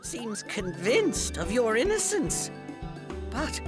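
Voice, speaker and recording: Small scholarly game mage, solemn n formal, slow but swoopy pitch, loud music behind. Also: big pitch swings. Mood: grave, measured. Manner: measured, formal. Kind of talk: video game voice acting.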